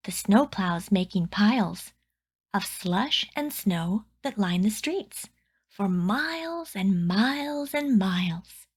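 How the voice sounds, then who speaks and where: A woman reading a rhyming children's picture book aloud with deliberate, expressive storytelling phrasing. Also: mid-range voice, slightly rough.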